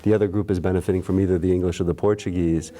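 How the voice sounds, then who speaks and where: A man's voice: low voice, fairly even intonation, rapid-fire, warm tone. A man from the local school system talking earnestly about programs, often restarting his sentences. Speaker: school administrator guest. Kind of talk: casual chat.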